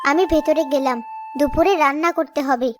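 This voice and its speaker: A woman's voice: sits high, steady tone, a little fast, over music, natural voice. A woman playing a sensible village wife and mother in a cartoon story. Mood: reproving, patient.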